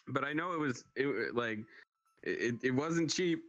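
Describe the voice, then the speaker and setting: A man chats casually as a stream guest. His voice is mid-pitched and bright, and he rambles, restarting thoughts as he goes, with soft music behind. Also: expressive, measured pace, dry recording, lo-fi sound. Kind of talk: casual chat. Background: background music.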